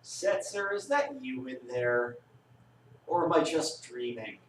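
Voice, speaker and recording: High-voiced young man, far from the mic. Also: big pitch swings, slow. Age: young adult. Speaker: roommate listening and reacting. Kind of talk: casual reactions. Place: small dorm room, indoors. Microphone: across the room from the camera. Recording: distant, echoey, noisy room.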